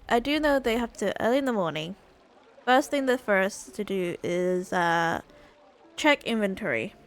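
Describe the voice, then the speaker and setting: Casting: female gamer, slightly high voice, casual thinking-aloud commentary with halts, music underneath. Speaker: game streamer. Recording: game music behind the voice.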